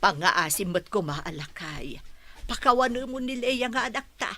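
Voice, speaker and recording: A woman's voice: mid-range voice, expressive, normal speed, pushed and bright. A woman playing an earnest, protective older mother in an audio drama, speaking emphatically and dramatically. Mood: pleading. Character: older mother, protective and pleading for her daughter.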